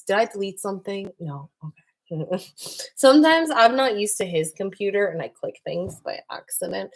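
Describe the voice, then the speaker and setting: Chatty woman co-hosting a livestream. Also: mid-range voice. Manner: chatty, animated, swears freely. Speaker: co-host reading viewer questions. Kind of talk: casual livestream chat.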